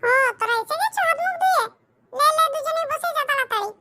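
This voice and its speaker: High-pitched fussing village mother. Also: expressive, fast talker, bright, forceful tone, exaggerated voice. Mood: calling, bustling. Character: fussing, affectionate middle-aged village mother, plain-spoken cartoon character. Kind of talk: comedy cartoon dialogue.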